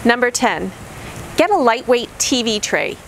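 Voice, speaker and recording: A middle-aged woman reading a scripted list of camping tips to camera, in an upbeat, clearly enunciated presenter manner, standing outdoors by a camper trailer. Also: fairly high pitch, big pitch swings, unhurried, slight background noise, low-quality recording. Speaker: vlogger.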